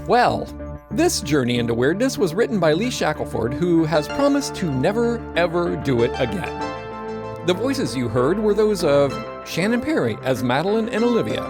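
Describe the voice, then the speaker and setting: A man's voice: on the high side, big pitch swings, normal speed, over music. A man playing a flustered, wry narrator, reading comic scripted credits for an audio drama. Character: flustered, deadpan male show narrator.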